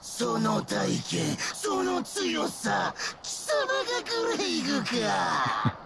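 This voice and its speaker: A man playing a mocking, pompous undead armoured commander in a video game, with a gravelly, creaky voice and drawn-out boasts. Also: high voice, huge pitch swings, a loud crowd, exaggerated voice. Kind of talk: theatrical video game villain acting.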